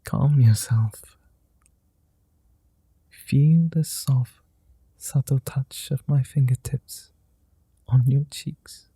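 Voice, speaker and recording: A man voicing a flirty, tender male vampire in an ASMR roleplay, speaking slowly, softly and formally, often in whispers, close to the mic. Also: mid-range voice, breathy edge, no room sound, full character voice. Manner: slow, soft and often whispered; old-fashioned formal speech.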